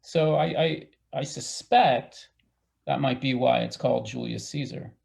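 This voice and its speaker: A man on a discussion panel explaining his interpretation in a halting, deliberate way, offering opinions tentatively. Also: medium pitch, creaky, a little mellow. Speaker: panel guest.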